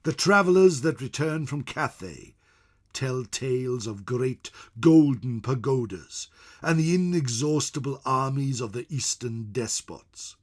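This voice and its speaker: Man voicing old fantasy scholar, husky, unhurried, grave storybook narration with dramatic weight. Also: mid-range voice, animated. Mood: grave, wondering. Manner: grave, measured, portentous, with dramatic emphasis. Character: venerable old scholar recounting wary, wondrous tales of distant lands.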